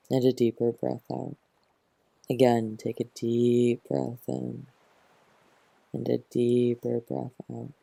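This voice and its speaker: A man leads a guided relaxation with heavy vocal fry and a soft, mellow tone. His delivery is very slow and soothing, with gentle instructions given close to the mic. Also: mid-range voice, no room sound. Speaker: yoga nidra teacher.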